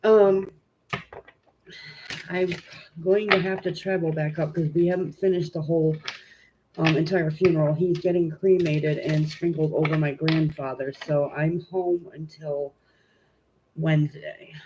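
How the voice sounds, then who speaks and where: A woman's voice: low-pitched, steady tone, measured pace, long pauses. Congested woman, home tarot streamer, casual and rambling, noisy audio. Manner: informal and chatty, many "um" fillers, greets viewers. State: congested, stuffy nose. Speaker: tarot reader hosting a live stream. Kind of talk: casual live-stream chat and card reading.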